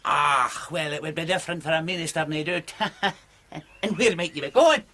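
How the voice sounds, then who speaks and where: An actress playing a sly, mocking old Scottish crone in a radio drama, with an eerie, cackling delivery. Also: female voice, sits low, sharp tone. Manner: cackling, drawn-out, swooping delivery.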